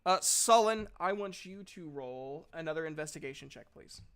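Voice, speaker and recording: A man running a tabletop game as game master, improvising descriptions haltingly as he searches for words. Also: fairly high pitch, animated, fairly bright tone, smooth.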